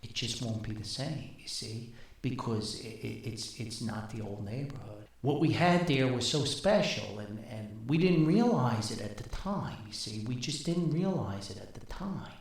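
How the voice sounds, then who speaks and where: A man's voice: mid-range voice, animated, unhurried, recorded from a distance. Sentimental old dad played by a male storyteller, plainspoken, wistful, heavily reverberant sound. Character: sentimental old father missing his old neighborhood. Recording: very echoey, reverberant voice recording.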